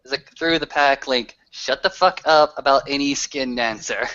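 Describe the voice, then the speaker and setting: A man's voice: mid-range voice, normal speed, pushed and bright, smooth. A man improvising narration for a tabletop roleplaying game, wordy and hesitant in his phrasing. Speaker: tabletop game storyteller or player. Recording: dull lo-fi online call audio.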